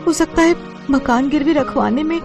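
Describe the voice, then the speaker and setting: A woman's voice: high-pitched, normal speed, music in the background, voice pushed up. A woman voicing a poor, proud young student who speaks earnestly in a cartoon story. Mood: anxious. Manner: earnest, pleading.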